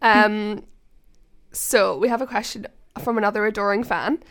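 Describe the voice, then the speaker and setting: A woman's voice: medium pitch, fairly even intonation, normal speed, a bit bright. A young woman, interviewing a podcast guest in a casual chat, playful, teasing and encouraging.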